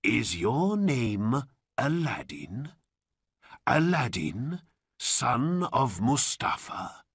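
A man voicing a sly old uncle in a storybook, secretive and theatrically emotional, with slow dramatic delivery. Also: fairly low pitch, very animated, a bit bright, breathy edge, dry recording, clean studio sound, exaggerated voice. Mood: calling, coaxing.

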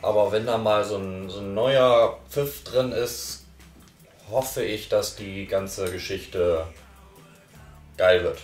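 A man giving his opinion in a casual review chat, relaxed with fillers. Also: on the low side, slow, reverberant, distant mic, soft background music. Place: small living room, on a sofa. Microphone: far camera.

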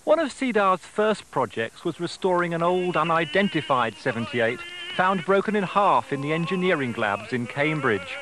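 A man's voice: a little high, sing-song, normal speed, hardly any pauses, poor audio. A man with an English accent reading scripted narration as a television news reporter. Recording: music behind, home off-air TV recording.

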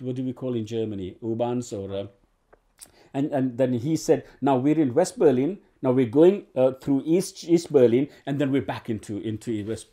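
A man's voice: mid-range voice, dark tone. A man in his sixties from Uganda, an actor telling his story in an oral history interview in a living room, rambling with many restarts and fillers. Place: living room with bookshelves and sofa.

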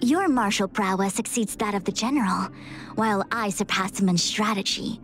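A woman's voice: mid-range voice, normal speed, a bit bright, faint music behind, lightly acted. A woman voicing a proud, formal young fortune-teller strategist in a video game, imperious and precise.

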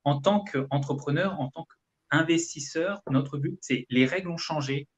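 A man's voice: fairly high pitch, a little slow, highs cut off. Frenchman explaining in conversation. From France. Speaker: guest, real estate investment coach. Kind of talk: conversational live interview answers. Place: indoor room, video call.

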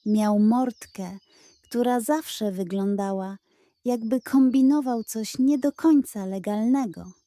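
A woman narrating a children's bedtime story in an expressive, playful way. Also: on the high side, slow pace, dry recording.

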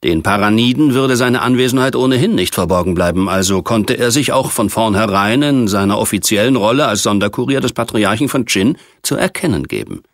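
A man narrating a science fiction novel as an audiobook, reading in a measured, precise literary manner. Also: lowish voice, lively intonation, dry recording, studio quality.

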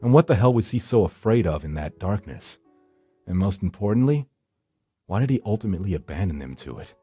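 Grim monster hunter, low dark voice, brooding, solemn game narration, faint music behind. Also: male voice, very animated, a little fast, slightly rough, exaggerated voice. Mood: somber. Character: grim, world-weary male supernatural rescuer narrating his hunt. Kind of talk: dramatic scripted first-person narration.

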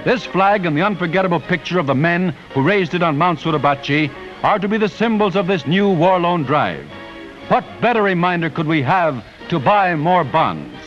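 A man's voice: high-pitched, lively intonation, moderate pace, a bit bright. An American man reading scripted 1940s newsreel narration in a solemn, declamatory, patriotic manner. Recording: dull vintage newsreel soundtrack with music.

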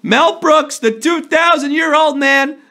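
A man in his thirties hosting a live trivia show from a studio, playful and jokey, a little hoarse from a sore throat. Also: extremely high pitch, pushed and bright. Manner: playful, jokey, slips into quick impressions and sung bits. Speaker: trivia game show host. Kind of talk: upbeat live quiz hosting. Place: studio before a green screen.

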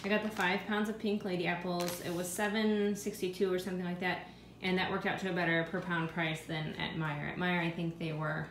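Woman vlogger, thirties, lowish voice, chatty and offhand, recorded by a handheld camera in an echoey kitchen with steady noise. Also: fairly quick, hardly any pauses. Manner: chatty, thinking aloud about prices. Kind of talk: casual grocery haul narration. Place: home kitchen.

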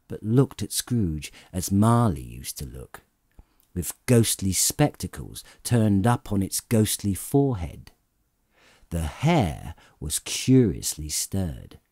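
Male storyteller for classic fiction: lowish pitch, lively intonation, theatrical delivery with drawn-out emphasis. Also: husky, dry recording, studio quality. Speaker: audiobook narrator.

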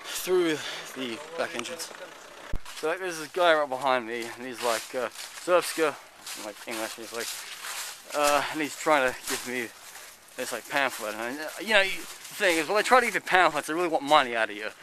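A man's voice: on the high side, animated, moderate pace, noisy background. Chatty man vlogging outdoors on a handheld camera. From United Kingdom. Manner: rambling, chatty, lots of "like" and "I guess". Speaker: travel vlogger. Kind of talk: casual walking tour commentary. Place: outdoors in a city park and fortress. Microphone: handheld camera at arm's length.

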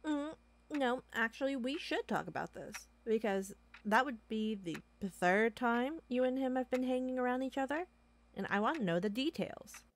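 A woman's voice: a little high, lively intonation, normal speed, noisy background. A woman playing a playful, nosy office underling in an audio roleplay, with a teasing manner. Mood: playful, eager. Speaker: voice actor. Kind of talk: amateur audio roleplay acting.

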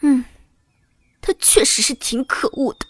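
A woman's voice: high-pitched, measured pace, no echo. A woman voicing a playful, sly young princess consort in a costume romance audiobook, theatrical, moving between meekness and glee. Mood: gleeful, teasing. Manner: theatrical, switching between meek and gleeful.

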